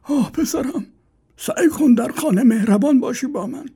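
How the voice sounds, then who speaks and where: A man's voice: extremely high pitch, expressive, dark tone, husky, pitched above the performer's own voice, heavily acted. A man voicing a frail old father who sighs and nags his son, in a thin, strained voice. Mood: pleading advice. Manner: sighing, preachy, quavering.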